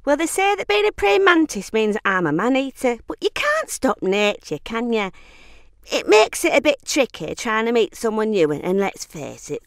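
A woman's voice: on the high side, no room sound, studio quality. A woman voicing a gossipy, man-hungry ageing female praying mantis in a comic monologue.

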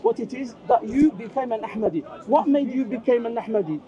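A man's voice: extremely high pitch, expressive, brisk, muffled recording, a crowd in the background. A man whose first language is Arabic, pressing a visitor with insistent, probing questions in an outdoor street debate. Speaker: Islamic debater. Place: outdoor park.

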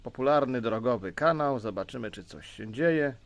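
A Polish man giving an informal, unscripted hands-on gadget demonstration as a hobbyist, explaining in a halting way. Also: mid-range voice, expressive, creaky, no echo. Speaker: CB radio hobbyist presenter.

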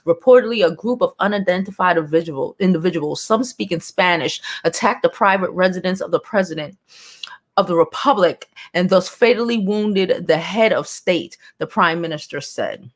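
A woman's voice: on the low side, moderate pace. A woman, casually and bluntly commenting on news and reading it aloud from a home studio, with sighs and profane asides. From Brooklyn, New York. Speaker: celebrity news vlogger. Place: home studio with neon sign, indoors.

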